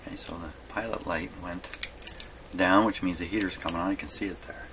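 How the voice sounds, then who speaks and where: A man giving a casual hands-on workshop demonstration, thinking aloud in a halting mutter and repeating his words. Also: low voice, steady tone. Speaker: hobbyist radio repairer presenting his workshop video. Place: radio repair workshop. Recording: clearly noisy workshop recording.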